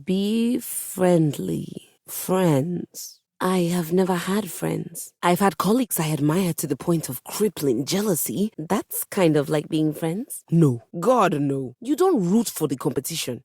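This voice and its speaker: A woman's voice: deep voice, lively intonation, measured pace, darkish tone, breathy edge, no echo, clean studio sound, exaggerated voice. A woman voicing a haughty, melodramatic musical instrument with a composer's snobbery in a video game, speaking with theatrical, pompous emphasis. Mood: haughty, unsure. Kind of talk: video game character voice acting.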